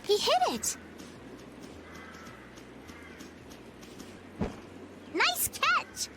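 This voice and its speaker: A woman's voice: extremely high pitch, wildly swooping pitch, takes it slowly, pushed and bright, full character voice. A woman voicing a plucky, chirpy little girl pirate in a video game, with cheery nautical talk. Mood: excited. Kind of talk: video game dub acting.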